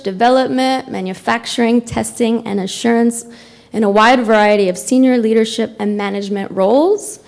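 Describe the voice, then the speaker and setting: A woman's voice: fairly high pitch, darkish tone. A woman in her thirties reading a formal scripted speaker introduction from notes as an event host. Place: hotel conference room, indoors.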